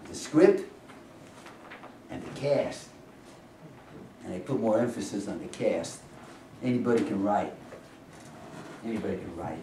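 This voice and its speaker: An older man with a lowish voice addresses an audience informally as the director of a staged reading. He is emphatic and rhetorical, often tagging his points with a check for agreement. The recording is roomy, picked up from a distance with faint noise. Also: dark tone. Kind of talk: informal welcome speech to an audience. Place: medium-sized indoor rehearsal room with audience. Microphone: camera several metres away.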